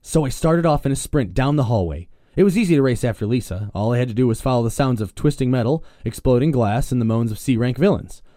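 A man reads a first-person adventure story in an earnest, measured tone. His voice is mellow and the recording sounds dry and close. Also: mid-range voice, expressive, dry recording. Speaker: story narrator. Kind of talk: dramatic first-person reading.

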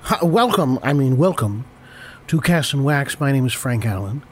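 A man with a cold, hoarse and stammering, hosting an improvised comedy podcast and constantly correcting himself. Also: mid-range voice, big pitch swings, normal speed. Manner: rambling, stammering, self-correcting. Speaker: podcast host.

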